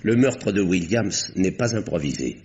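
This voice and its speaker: A composed doctor-detective lays out his case in a lowish, lively voice. He leans on his pauses to make each point. The recording has a dull, old-broadcast sound. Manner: measured, pointed, dramatic pauses. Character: calm doctor-detective unmasking a murderer. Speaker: Doctor Westlake. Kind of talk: 1950s radio drama acting.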